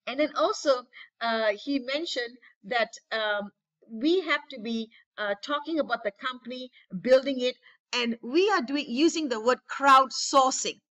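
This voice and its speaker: A woman's voice: high voice, unhurried, fairly bright tone, lo-fi sound. A woman leading a network marketing training over a webinar, talking enthusiastically and repeating phrases to rally her listeners. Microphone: webinar call.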